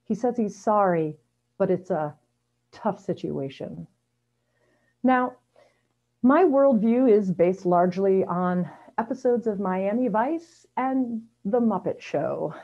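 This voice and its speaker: A woman's voice: mid-range voice, lively intonation, slow pace, warm tone. A middle-aged woman reading a personal story aloud over a video call, with a wry, self-deprecating manner. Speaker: amateur storyteller at a story slam. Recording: dull, lo-fi video call audio.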